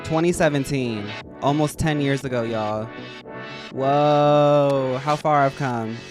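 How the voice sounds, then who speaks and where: A man with a high, feminine-sounding voice, chatting casually and rambling to his live-stream audience while he plays. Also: slow pace, music in the background. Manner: rambling, chatty, addresses chat as y'all. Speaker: game streamer.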